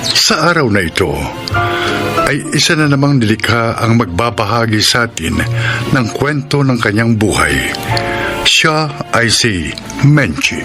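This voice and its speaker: A man's voice: mid-range voice, sing-song, measured pace, long pauses, loud music behind the voice. A man hosting a radio drama, reading a scripted dramatic introduction. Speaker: host.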